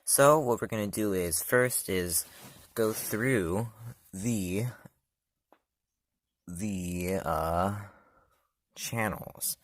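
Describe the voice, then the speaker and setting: A man's voice: on the low side, drawn-out words, long pauses, no room sound. A man hosting his own channel, walking through a gadget setup step by step in a loose, chatty way and often trailing off with "so, yeah.". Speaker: channel host reviewing a gadget. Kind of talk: casual step-by-step product demo.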